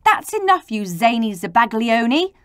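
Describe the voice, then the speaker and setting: A cross, impatient storybook grown-up, acted by a woman reader. The delivery scolds and grumbles, high and very expressive, in a dry recording. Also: measured pace, no echo. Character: stern, impatient grown-ups scolding squabblers. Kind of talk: children's storybook characters.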